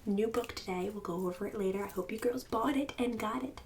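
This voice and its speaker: A woman's voice: medium pitch, brisk, a little mellow, recorded from a distance, slight background noise, natural voice. A woman playing a snobbish, bossy suburban book club hostess in an ASMR roleplay, condescending and catty with fake-sweet insults. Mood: fake-sweet, bragging. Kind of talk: ASMR roleplay, whispered and soft-spoken.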